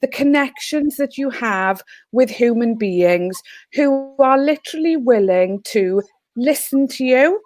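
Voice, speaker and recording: A woman's voice: sits high, expressive, slow pace, darkish tone. A Welsh woman whose first language is Welsh, a teacher speaking earnestly on a video call panel. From Wales. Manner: earnest, heartfelt. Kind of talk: online panel talk.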